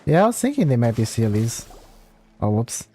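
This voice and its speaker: A man's voice: medium pitch, very animated, normal speed, mellow, soft background music. A man giving casual commentary as he livestreams a video game. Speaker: game streamer.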